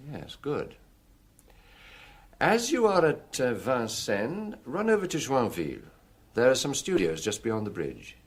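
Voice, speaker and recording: An actor with a British accent playing a seasoned, gruff middle-aged police chief in a radio drama, measured, ruminative and commanding, with a husky voice. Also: male voice, mid-range voice, wildly swooping pitch, exaggerated voice. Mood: brisk, commanding.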